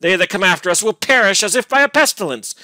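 A man's voice: high-pitched, lively intonation, fast talker, pushed and bright, no echo, voice pushed up, full character voice. A man voicing an enraged, scornful young lover in a gothic storybook, with archaic, declamatory, venomous speech. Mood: scornful fury. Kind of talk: dramatic gothic storybook reading.

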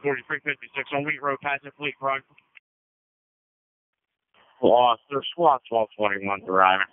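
A man's voice: mid-range voice, a little slow, smooth, no room sound. A man on a responding fire crew, making terse unit reports over two-way radio. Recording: tinny, dry radio transmission.

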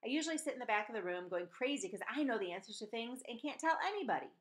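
Animated woman reading a story aloud. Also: a little high, very fast. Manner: animated, with stumbles and self-corrections. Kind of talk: expressive storybook read-aloud. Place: small room at home, indoors. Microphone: computer webcam mic at desk distance.

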